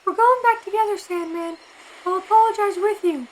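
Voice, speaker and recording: An amateur actress playing a stern, reproachful older sister from a tribe, earnestly scolding in an anime fan dub. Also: female voice, very high voice, moderate pace, background noise. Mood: insistent.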